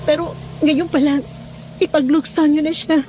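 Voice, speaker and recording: A woman's voice: high voice, fairly even intonation, normal speed, over music, natural voice. A woman playing a frightened, guarded young village woman in an audio horror drama, stammering and pleading. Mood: fearful, refusing.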